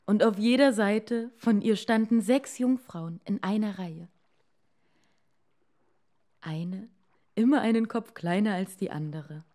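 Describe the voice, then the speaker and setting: A woman narrating a fairy tale for children in a measured way, with dramatic pauses. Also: medium pitch, mellow. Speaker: storyteller.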